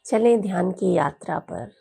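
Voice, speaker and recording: A woman leading a guided meditation as a yoga teacher, speaking in a soothing, gentle, unhurried way with long pauses. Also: lowish voice, creaky, breathy edge.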